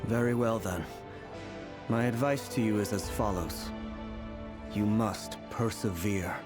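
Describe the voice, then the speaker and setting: An actor playing a grave, mysterious, weary prisoner in a video game, speaking solemnly and deliberately. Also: male voice, fairly low pitch, over music. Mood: solemn, warning. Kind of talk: scripted video game dialogue.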